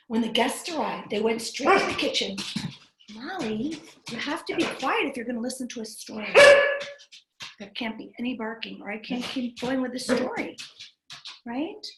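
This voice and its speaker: A woman reading a storybook aloud to young children in an animated, expressive way, breaking off to chat with them. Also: on the high side, a little hiss or hum. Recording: roomy, echoing livestream sound.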